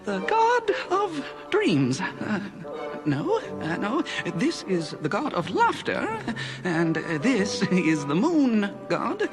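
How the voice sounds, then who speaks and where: A fumbling court magician who stammers and hems as he hunts for the right thing. He speaks in a high, lively voice, drawn out slowly, with loud music playing underneath. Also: exaggerated voice. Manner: fumbling, hesitant, many uhs. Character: muddled male court magician searching idols.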